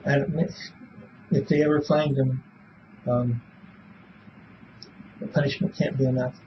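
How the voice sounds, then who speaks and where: Through a dull, clearly noisy recording, a man in his seventies answers interview questions. His voice sits at a middling pitch, and he rambles haltingly, repeating words and leaving thoughts unfinished. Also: little pitch movement. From born in Belfast, Northern Ireland; raised in Illinois from age seven. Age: 70s. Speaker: interviewee in a police polygraph interview. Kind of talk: casual, rambling spoken answers. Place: small police interview room.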